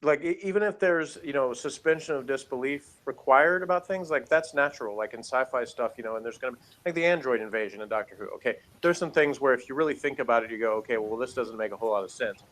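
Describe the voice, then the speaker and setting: A man's voice: medium pitch, fast, few pauses, fairly bright tone. A man giving a casual, opinionated review as a podcast co-host, rambling and emphatic in an exasperated way.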